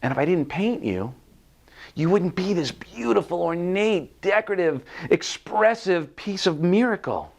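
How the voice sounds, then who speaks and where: A patient master potter from a storybook parable, voiced by a man. He is calm and firm, explaining himself in measured phrases with expressive intonation. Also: high voice, creaky, voice pushed up, exaggerated voice. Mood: earnest, reassuring. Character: calm, stern but loving master potter.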